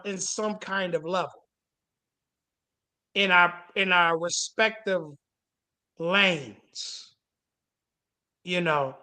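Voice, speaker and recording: A slow-talking host with a bright, forceful edge leads a casual panel discussion. The delivery is emphatic and peppered with swearing and slang. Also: mid-range voice, clear, resonant tone. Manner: profane, emphatic street-casual talk. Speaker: host moderating a panel call.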